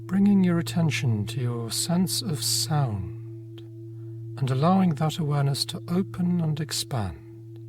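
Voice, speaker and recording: A man narrating a guided meditation in a gentle, unhurried manner, leaving long pauses between phrases. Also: a little high, animated, noisy background. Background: meditation music with singing bowl tones.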